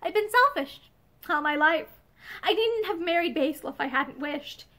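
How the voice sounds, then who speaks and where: A woman reading aloud, voicing a tearful young woman who pleads in a halting voice broken by sobs. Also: very high-pitched, expressive, bright, forceful tone, exaggerated voice. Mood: remorseful.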